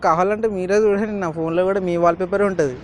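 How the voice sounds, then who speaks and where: A man's voice: sits high, steady tone, quick pace, noisy background. A man making a teasing prank phone call. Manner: teasing, cheeky. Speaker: prank caller.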